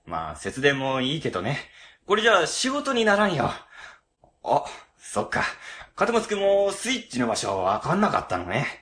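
A man playing a cowardly, dramatic middle-aged section chief in a comedic radio drama, stammering and excitable. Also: mid-range voice, normal speed. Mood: relieved, grumbling. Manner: stammering, excitable, exaggerated frightened delivery.

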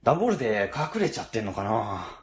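A man playing a cowardly, dramatic middle-aged section chief in a comedic radio drama, stammering and excitable. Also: on the low side, quick pace. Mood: relieved, grumbling. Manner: stammering, excitable, exaggerated frightened delivery.

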